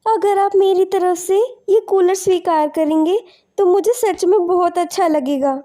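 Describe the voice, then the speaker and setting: A woman voicing a grateful, insistent village mother in an animated moral story, polite and earnest. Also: extremely high pitch, normal speed.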